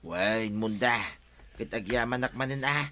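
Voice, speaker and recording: A man plays a henpecked, hard-drinking village husband in a comedy drama. His voice is mid-pitched, bright and pressing. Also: measured pace, a little hiss or hum. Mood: grateful, plaintive.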